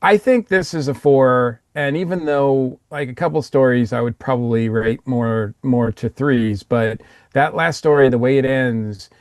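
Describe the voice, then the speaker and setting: Man chatting casually in halting, rambling bursts. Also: medium pitch, clean tone, no room sound, clean studio sound. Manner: rambling, halting, repeats words. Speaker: podcast panelist reviewer. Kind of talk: casual review chat.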